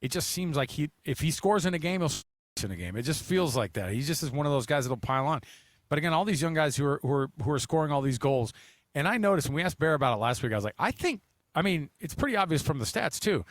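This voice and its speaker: A man's voice: a little high, lively intonation, quick pace, dry recording. A man hosting a sports talk show, chatting casually in a rambling, emphatic way.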